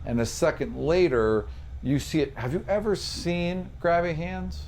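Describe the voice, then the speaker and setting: A man working as a game master, describing scenes for a tabletop fantasy game in short, halting phrases. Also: a little high, a little mellow, slight background noise. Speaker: game master and podcast host.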